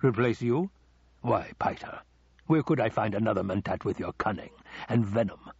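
Audiobook villain, played by a man: scheming older nobleman, husky, slow, silkily taunting, dry recording. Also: on the low side, lively intonation, darkish tone, no room sound, lo-fi sound, exaggerated voice. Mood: silky mockery. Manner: slow, drawn-out, taunting delivery.

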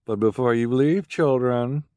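A man voicing a haughty, gushing, fashion-obsessed rich woman for an audiobook, with affected emphasis on drawn-out words. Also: mid-range voice, mellow, dry recording, voice pushed up, lightly acted. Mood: brisk, dismissive. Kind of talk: audiobook character voice.

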